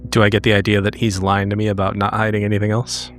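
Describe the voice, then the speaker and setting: A man's voice: lowish voice, monotone, fast, fairly bright tone, soft background music. A man playing a tabletop roleplaying game, blunt and informal as he talks in and out of character.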